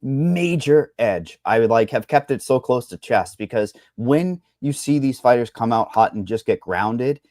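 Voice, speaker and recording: A man's voice: medium pitch, lively intonation, a little slow, hardly any pauses, darkish tone, dry recording. A man with a thick Boston accent breaks down fight odds in a loose, rambling way, dropping blunt asides as he goes. The sound is dry and close, with no room around it. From Boston, Massachusetts. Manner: rambling, hedging, salty asides. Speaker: MMA betting analyst. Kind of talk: casual betting chat.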